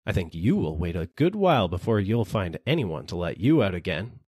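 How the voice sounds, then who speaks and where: Here is a man performing a small, sly storybook jackal who feigns puzzled, apologetic slowness. The pitch lifts and swoops in an animated way, and the voice is slightly husky. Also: no room sound, studio quality, full character voice. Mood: smug. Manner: vacant, apologetic, exaggeratedly puzzled. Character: sly little jackal feigning slow-witted politeness.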